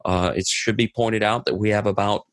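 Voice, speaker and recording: A man's voice: fairly low pitch, steady tone, fairly quick, no echo. A man co-hosting a missionary podcast, talking earnestly in a preaching manner with many fillers. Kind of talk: casual podcast conversation.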